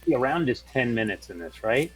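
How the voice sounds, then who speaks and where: A man's voice: medium pitch, big pitch swings, normal speed, slightly rough, soft background music, low-quality recording. A man playing a tabletop role-playing game, chatting casually about rules and speaking for his character.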